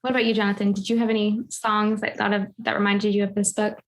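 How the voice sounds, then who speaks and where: A woman's voice: mid-range voice, level tone, fast talker. A woman talking casually on a book club podcast, enthusiastic and playful.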